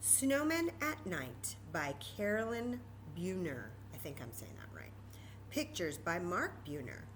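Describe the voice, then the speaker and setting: A woman's voice: on the low side, sing-song, unhurried, fairly bright tone, slight background noise. An American woman hosting a children's storytime, speaking expressively and directly to young listeners, mixing read-aloud with chatty asides. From Texas, United States. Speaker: storytime reader and host.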